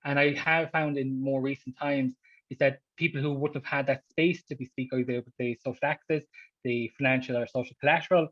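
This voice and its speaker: A man speaks quickly and earnestly on an online panel and restarts his phrases as he goes. His voice comes through dry and a little muffled. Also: medium pitch, steady tone, a bit bright, no room sound. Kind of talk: panel discussion. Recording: dull, dry home audio.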